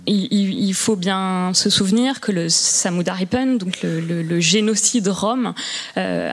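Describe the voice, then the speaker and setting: A woman, an art historian speaking on a public panel, pausing often with fillers and restarts. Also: lowish voice, creaky. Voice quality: hesitant, many fillers and restarts.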